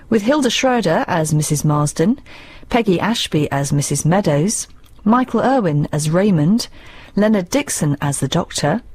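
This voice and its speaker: A woman with a British accent and a husky voice reading scripted closing credits as a radio drama announcer. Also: lowish voice, a little mellow.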